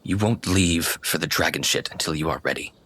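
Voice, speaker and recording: A man's voice: low voice, fairly quick, sharp tone, husky, full character voice. A man voicing a stern but caring woman warrior mentor in a tabletop game, with commanding, solemn encouragement. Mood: firm, dry.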